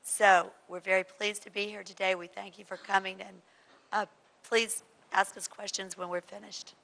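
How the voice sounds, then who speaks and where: A woman's voice: mid-range voice, little pitch movement, normal speed, vocal fry, sharp tone. A woman giving formal public remarks as a panel speaker. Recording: very reverberant hall, faint noise.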